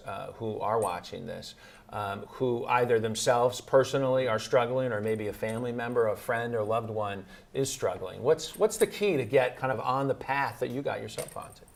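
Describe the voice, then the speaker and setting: A man hosting a conversational public-affairs interview, asking questions in a halting, thoughtful way. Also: medium pitch, vocal fry. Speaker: TV talk show host and interviewer.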